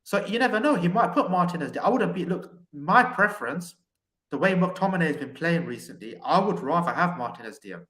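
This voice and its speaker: A man calling in to talk football, with a somewhat high voice that keeps jumping around as he gets worked up. He restarts sentences a lot and leans on fillers. The call sounds echoey, like a bare room. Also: fairly quick. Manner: animated, many restarts and "look" fillers. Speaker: caller. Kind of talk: casual football chat.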